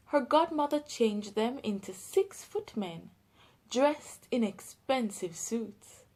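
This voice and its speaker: A woman with a Jamaican accent, reading a children's story aloud with careful, deliberate enunciation. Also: on the high side, a little mellow.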